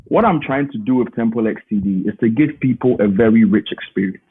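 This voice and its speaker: A man's voice: on the low side, brisk, husky. A man, talking casually and emphatically as an interview guest on a call. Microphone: remote call. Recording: thin phone-like call audio.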